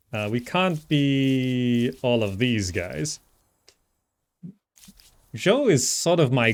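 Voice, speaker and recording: A man giving casual live commentary as he streams a strategy game, thinking aloud as he plays. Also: medium pitch. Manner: thinking aloud while playing.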